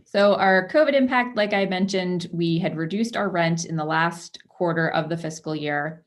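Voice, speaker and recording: Woman, board chair, slightly low pitch, delivering a prepared update over a video conference. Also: moderate pace. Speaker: outgoing chair of a market board. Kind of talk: prepared presentation at a public meeting.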